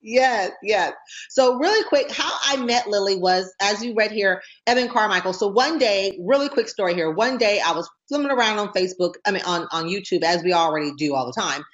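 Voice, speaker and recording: An excitable, bubbly woman hosts a casual talk show, often breaking into laughs as she chats. Her voice has a mid-range pitch. The sound is dull and somewhat lo-fi. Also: a little fast. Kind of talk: casual interview chat.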